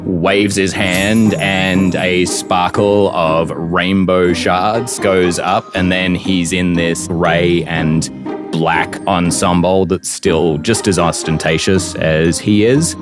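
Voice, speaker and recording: A man runs a fantasy tabletop game in a deep voice. His dramatic narration moves slowly, with music clearly playing behind it. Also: steady tone. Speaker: game master.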